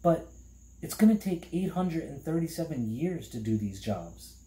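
Man doing an earnest young boy, higher-pitched. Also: sing-song, moderate pace, dark tone, roomy sound. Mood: polite, hesitant. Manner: earnest, hesitant, polite.

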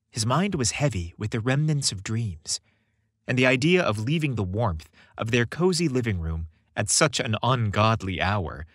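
A man's voice: mid-range voice, fairly bright tone, slightly rough, dry recording, clean studio sound. A man reading a romantic story aloud as a scripted narration.

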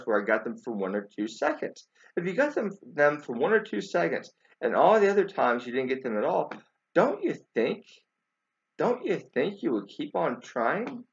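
A man in his forties talks informally to camera in a lively voice that rises and falls a lot. He speaks haltingly and doubles back on his words with emphatic emphasis. The sound is dull and lo-fi, as from a webcam. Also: a little high. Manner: halting, repeats words, emphatic rhetorical questions. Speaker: video commentator. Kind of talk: informal spoken commentary to camera.

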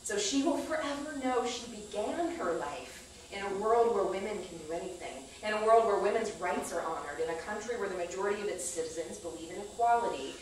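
A woman in her thirties performing an expressive, poetic personal monologue on stage with deliberate delivery. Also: medium pitch. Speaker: spoken-word storyteller on stage. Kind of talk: rehearsed dramatic personal monologue read to an audience. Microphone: far camera microphone. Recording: echoey theater, distant mic, faint noise.

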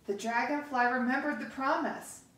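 A middle-aged woman reading a picture book aloud to children, with deliberate, expressive pauses, recorded across a living room. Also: sits high. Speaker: story time host reading to children. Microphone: camera across the room. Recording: echoey room, distant microphone.

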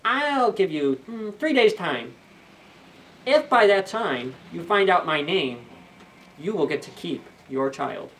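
A scheming little imp man from a storybook, played by a male reader, pitched high and slow. He draws out every bargain slyly. The mic is slightly distant. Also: very animated, a bit bright, a little hiss or hum, exaggerated voice. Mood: demanding. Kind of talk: storybook character voice. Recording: slightly distant camera microphone.

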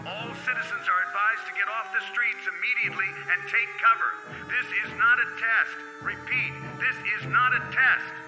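An actor playing an emergency broadcast announcer who reads a red alert over the radio in a clipped, urgent, official manner. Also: male voice, high-pitched, fairly even intonation, moderate pace. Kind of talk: radio drama acting. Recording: roomy radio broadcast with music.

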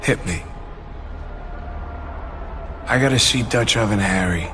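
An actor playing a cynical young mobster in a video game, speaking in a cool, deadpan, sardonic way. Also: male voice, on the low side, takes it slowly, background noise. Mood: casual, cool. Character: dry, cynical young mobster antihero, narrating. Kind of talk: noir video game narration and dialogue.

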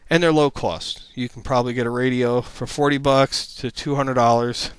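A man's voice: medium pitch, steady tone, normal speed, smooth, dry recording. A man narrating an informal slide presentation as an instructor, explaining things in a plain-spoken, matter-of-fact way.

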